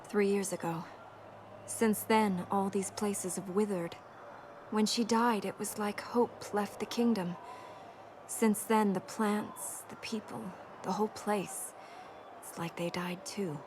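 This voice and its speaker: An actress playing an earnest, melancholy young princess in a video game, speaking in a measured, wistful way. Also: female voice, medium pitch, fairly even intonation, a little mellow, a little hiss or hum, close to an everyday voice. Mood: sad, subdued. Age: young adult.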